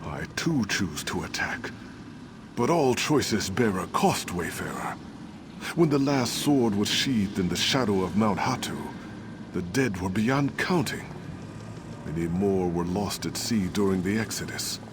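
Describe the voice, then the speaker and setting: An actor playing an ancient, wise and haunted warrior spirit in a video game, speaking in a grave, measured, formal way. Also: male voice, lowish voice, animated, noisy background. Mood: grave, mournful. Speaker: game character posing moral tests. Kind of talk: scripted video game voice acting. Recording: music under the voice.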